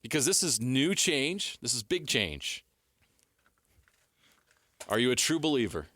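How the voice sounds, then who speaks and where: A man's voice: medium pitch, very animated, pushed and bright, dry recording. A man hosting a sports radio show, asking pointed questions and rattling off stats. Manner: leading questions, rapid stat rundowns.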